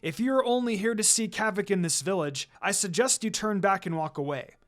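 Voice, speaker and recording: A story reader voicing a calm, authoritative male village leader who speaks in formal warnings. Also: mid-range voice, a little fast, natural voice.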